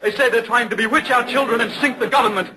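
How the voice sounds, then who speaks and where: An actor playing an angry, frantic son who protests bitterly and vehemently, in old radio drama style. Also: male voice, very high voice, fast talker, a crowd in the background. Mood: frenzied indignation. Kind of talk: 1940s radio acting.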